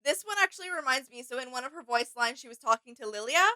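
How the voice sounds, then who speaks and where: A woman's voice: high voice, expressive, fast, sharp tone. A woman co-hosting a fan reaction in casual chat, speaking with an enthusiastic, agreeable and giggly manner. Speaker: reaction channel co-host.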